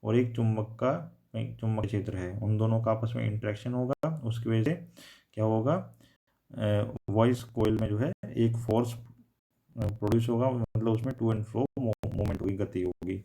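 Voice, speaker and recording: A man's voice: on the low side, steady tone, moderate pace, gravelly, mellow. A man teaching a technical lesson, repeating and rephrasing his points and mixing in English terms. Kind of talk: explanatory technical lecture.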